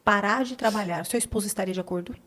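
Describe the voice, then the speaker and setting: A woman's voice: mid-range voice, very fast, a bit bright. A woman with a Brazilian Portuguese accent hosting a casual interview, talking conversationally and posing questions as she reasons. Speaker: podcast host.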